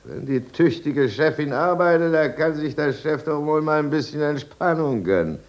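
An actor playing a middle-aged drunken husband in a 1950s German radio drama, rambling and sardonic, sliding between self-pity and false jauntiness. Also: male voice, fairly high pitch, animated, highs cut off, natural voice. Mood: maudlin, sardonic. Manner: rambling, sardonic, with drawn-out musing. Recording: gravel-free but aged 1950s radio recording.

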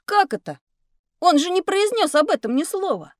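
A woman voicing an excitable, adventurous schoolboy in an educational audio drama for children. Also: very high-pitched, normal speed, no room sound, studio quality. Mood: eager, curious. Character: excitable, adventurous schoolboy dreaming of heroic deeds.

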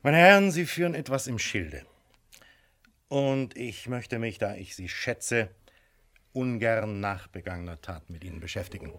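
A man playing a courteous but firm, wily police inspector in a radio crime drama, speaking formally and deliberately with polite menace. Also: on the low side, animated, a bit bright. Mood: formal, warning.

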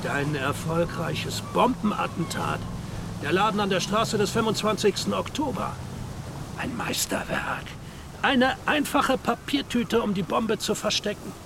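High, creaky, mock-genial villain. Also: male voice, measured pace, background noise. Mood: gloating, proud. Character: grandiose, mock-genial Armenian nationalist male villain. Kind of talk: German radio drama acting.

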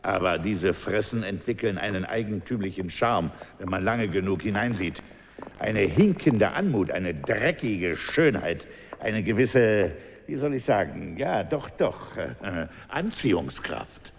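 A man's voice: on the low side, expressive, a little slow, vocal fry, slight background noise. An actor playing a cynical, amused older official in a radio drama, musing sardonically and savouring his words. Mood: musing, sardonic. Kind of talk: German radio drama acting.

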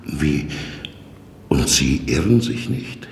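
An actor playing a novice jailer who also sells wood, dry, deferential and quietly unsettling, in a German radio drama. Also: male voice, extremely low pitch, sing-song, slow, full character voice. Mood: probing. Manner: deferential, matter-of-fact, probing. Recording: echoey hall, noisy old radio recording.

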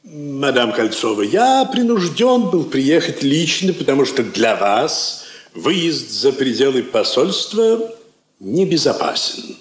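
Stuffy officious diplomat, old stagey radio drama, very up and down voice, echoey. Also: male voice, mid-range voice, very animated, slow pace. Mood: officious, grave. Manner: ceremonious, emphatic, officious. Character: pompous, formal diplomat councillor delivering a grave protest. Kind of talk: Soviet stage-style radio drama acting.

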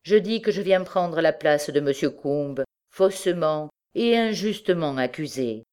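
Narrator acting out grave young man, solemn, resolute, emphatic, mid-pitched, storybook drama. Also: lively intonation, measured pace, a little mellow, clear, resonant tone, close to an everyday voice. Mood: solemn resolve.

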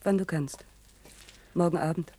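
A woman's voice: lowish voice, steady tone, measured pace, mellow. An actress playing a sensible young woman who admonishes her partner in a German science-fiction radio drama. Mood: pragmatic, calm. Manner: admonishing, pragmatic. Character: sensible, cautioning young woman, dissident's girlfriend.

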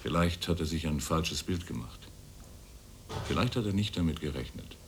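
An actor in a radio drama playing a sceptical police investigator, dry, probing and blunt. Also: male voice, rumbling low, animated, moderate pace, a little hiss or hum. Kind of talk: German radio drama acting.

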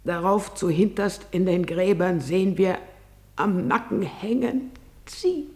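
An actor playing a grave messenger in a classical verse radio drama, reciting in a solemn, measured way. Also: male voice, high voice, expressive, full character voice. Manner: solemn, measured recitation, shifting to hushed whispers. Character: grave messenger reporting deaths.

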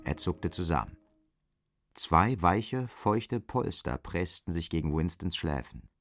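A man's voice: low voice, very animated, takes it slowly, a bit bright. A man narrating an audio drama in scripted literary prose.

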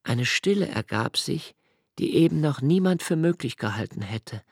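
An actor playing a brooding, introspective young male diarist, narrating a literary radio play in a slow, hushed, measured way. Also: on the high side, expressive, vocal fry, breathy edge, dry recording, clean studio sound, full character voice. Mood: reminiscing. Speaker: first-person narrator.

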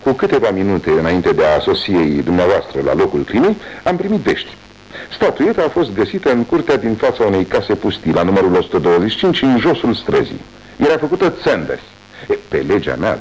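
A man's voice: fairly low pitch, wildly swooping pitch, a little mellow, exaggerated voice, rough recording. An actor playing an eager, baffled male police inspector who speaks emphatically in a 1980s radio drama. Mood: puzzled. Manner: eager, emphatic, swinging intonation.

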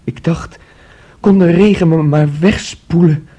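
Troubled, husky, dreamy young man. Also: fairly high pitch, dark tone. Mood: dreamy, despairing. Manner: brooding, dreamy, halting. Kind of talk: radio play acting.

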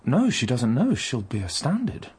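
A man's voice: medium pitch, huge pitch swings, measured pace, a little mellow, breathy edge, exaggerated voice. An actor playing a self-assured former diplomat in a radio drama, direct and prickly in his questioning. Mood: impatient.

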